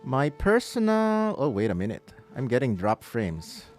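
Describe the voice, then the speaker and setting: A man gives casual livestream commentary to his viewers. He speaks slowly, in a mid-range voice with lots of pitch movement. Soft game music runs behind him. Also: wildly swooping pitch. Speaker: live game streamer.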